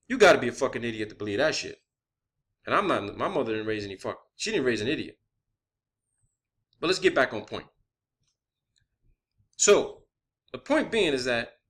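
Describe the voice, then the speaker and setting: A man speaks in a fairly high, very bright and forceful voice. He rambles through an opinionated online monologue, insistent and doubting, with constant profanity. He often repeats words to drive a point home. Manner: repeats words and phrases for emphasis. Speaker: online video host.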